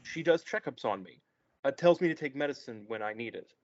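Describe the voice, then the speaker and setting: A man's voice: medium pitch, animated, a little fast, darkish tone, natural voice. A man reading a naive, sheltered young man at a play table read, hesitant and stammering. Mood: earnest. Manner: hesitant, stammering, wide-eyed. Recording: echoey room, muffled lo-fi.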